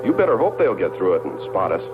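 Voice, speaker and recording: A man's voice: low-pitched, big pitch swings, fast talker, over loud music. An actor, a man, playing a weary stranded astronaut who speaks in short, labored phrases in a 1960s science fiction film dub. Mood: weary, strained. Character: weary, struggling stranded astronaut urging onward. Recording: very noisy, muffled old film soundtrack.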